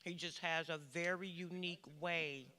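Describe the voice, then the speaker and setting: A woman's voice: on the low side, steady tone, moderate pace, pushed and bright. A woman giving a heartfelt personal testimony in a church session, speaking in a reflective way. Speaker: congregation member giving testimony. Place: church hall. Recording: reverberant church hall.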